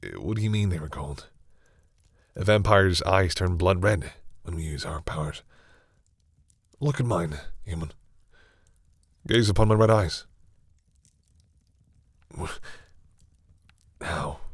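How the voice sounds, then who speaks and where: Man playing a low-voiced, proud yet awkward vampire. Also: husky, no room sound, studio quality, full character voice. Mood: incredulous, flustered. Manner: hesitant, sighing, wryly condescending toward humans. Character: male vampire captor, proud but awkwardly softening. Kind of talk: intimate audio roleplay addressed to the listener.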